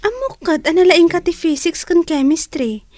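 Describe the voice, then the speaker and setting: A woman's voice: very high voice, animated, normal speed, darkish tone, no room sound. A woman acting a caring, generous young student and loyal friend in a radio drama. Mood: friendly.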